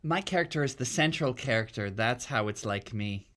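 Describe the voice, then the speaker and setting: Bright-voiced man explaining in interview chat. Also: mid-range voice, animated, normal speed. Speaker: theatre artist, podcast guest.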